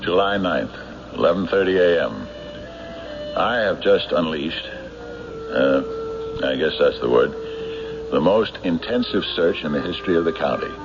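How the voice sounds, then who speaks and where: An actor playing a stubborn small-town county sheriff in a 1970s radio drama, speaking in an emphatic, insistent, deliberate way. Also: male voice, low-pitched, lively intonation. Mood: troubled disbelief. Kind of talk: 1970s radio drama acting, logbook narration and dialogue. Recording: dull 1970s radio recording, music behind.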